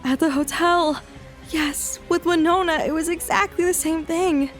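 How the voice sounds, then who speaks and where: A woman's voice: very high-pitched, steady tone, measured pace. A woman playing an anguished, determined young female healer in an audio drama, urgent and dramatic. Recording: music under the voice.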